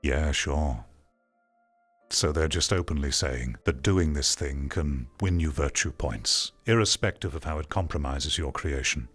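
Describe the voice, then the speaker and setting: A man's voice: very deep voice, measured pace, a bit bright, husky, faint music behind. A man giving opinionated commentary on an article, reading bits aloud and reacting in a sarcastic, theatrical way. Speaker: host of a commentary channel on VR games.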